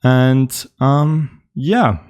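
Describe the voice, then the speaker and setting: A young man with a Danish accent walks viewers through building a game live. His voice sits in a middle pitch. He speaks casually, thinking aloud with plenty of ums and uhs. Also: very slow, a little mellow. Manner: casual, explaining while coding, frequent ums and uhs. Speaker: tutorial host. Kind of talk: live coding tutorial.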